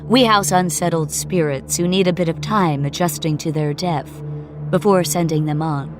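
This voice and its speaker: A woman's voice: sits low, normal speed, music in the background, close to an everyday voice. A woman voicing a kindly, matter-of-fact male caretaker with polished customer-service patter, in a dramatised ghost story. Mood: smooth sales pitch. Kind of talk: dramatised audiobook dialogue.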